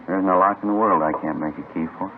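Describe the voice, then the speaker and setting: A man's voice: sits low, fast, phone-quality sound, close to an everyday voice. A man playing a polite, unruffled American forger in a 1950s radio crime drama, on a noisy vintage recording. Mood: caught, boastful. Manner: courteous, unruffled. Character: smooth, polite male forger, cocky once caught.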